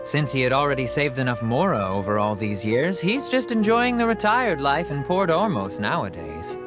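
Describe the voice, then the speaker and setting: A woman's voice: very deep voice, very animated, moderate pace, over music. A woman voicing a friendly, chatty potter who runs a stall in a video game. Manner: warm sales talk, chatty.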